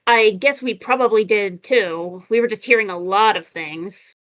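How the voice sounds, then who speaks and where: A woman's voice: mid-range voice, normal speed, lightly acted. A woman voicing brash, cocky robots in an amateur comic dub, with informal, punchy delivery. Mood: chatty, brisk.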